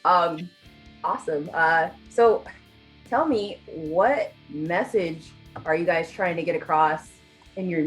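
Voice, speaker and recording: A young adult woman interviewing a band in a casual, upbeat way, with lots of "like" and "awesome". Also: sits low. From United States. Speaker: interviewer, rock news correspondent. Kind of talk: casual interview over a video call.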